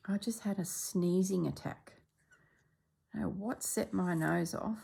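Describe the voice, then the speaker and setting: A middle-aged woman from Australia chatting casually as she stitches, a little congested and clearing her throat, sometimes dropping to soft murmurs. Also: fairly low pitch, takes it slowly. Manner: chatty, relaxed, drops into soft murmurs while working. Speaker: stitching vlogger. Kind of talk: casual chat while stitching.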